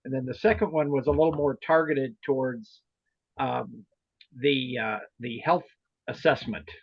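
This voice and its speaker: A man talks through training matters in an informal, halting and meandering way. His voice is husky and gravelly, heard over roomy online meeting audio. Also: mid-range voice, fairly even intonation, muffled recording. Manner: hesitant, rambling, explanatory. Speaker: meeting leader and trainer of volunteer club officers.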